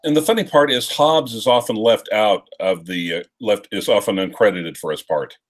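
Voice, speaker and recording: A man's voice: fairly low pitch, moderate pace. A man about to turn fifty-one, co-hosting a casual live-stream chat with dry, wry humor. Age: 50s.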